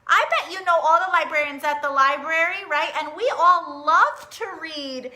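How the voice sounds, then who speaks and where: A woman hosting a live online story time, talking directly to young children in a sing-song, animated manner as a librarian who reads and teaches songs. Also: sits high, moderate pace. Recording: roomy, echoing sound.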